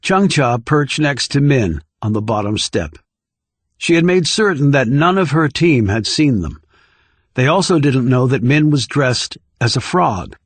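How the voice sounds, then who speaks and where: A man's voice: mid-range voice, lively intonation, a little slow, husky, dry recording. A man reading scripted thriller narration as an audiobook narrator.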